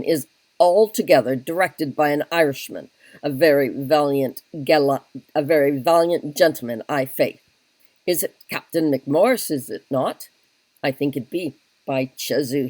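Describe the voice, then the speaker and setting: A man's voice: fairly high pitch, a little mellow, no room sound. A man voicing a pedantic, long-winded army captain who keeps saying "look you", in a solo reading of a stage play. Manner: fussy, wordy, repeats "look you". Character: pedantic talkative male Welsh captain.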